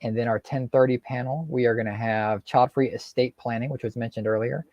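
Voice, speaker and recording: A man's voice: mid-range voice, steady tone, brisk, dry recording. Man, host, easygoing, dry close sound, dull audio. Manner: easygoing, reads out schedule. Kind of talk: casual video call chat. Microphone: video call.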